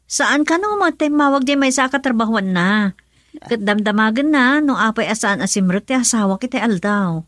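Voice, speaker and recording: A high, bright-voiced young wife with an Ilocano accent, sharp and scolding toward her drinking husband, as a woman acts her in a radio drama. The sound is dry and close. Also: lively intonation, moderate pace, no echo. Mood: worried.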